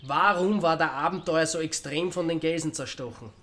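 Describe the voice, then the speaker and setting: Radio crime drama: male actor as unflappable Austrian sleuth, slightly high-pitched, sardonic, needling, thinking aloud. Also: moderate pace, a bit bright, lightly acted. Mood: probing. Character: wry amateur sleuth confronting a killer, needling, unflappable.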